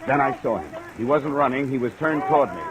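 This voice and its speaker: An actor playing a tough, wry insurance investigator in a 1940s radio drama, speaking in a clipped, sardonic way. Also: male voice, medium pitch, lively intonation, fast talker, close to an everyday voice. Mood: tense narration. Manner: clipped, hard-boiled, sardonic. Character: tough, wry male insurance investigator narrating his case. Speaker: lead actor and narrator. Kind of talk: 1940s radio detective acting. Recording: noisy vintage radio recording.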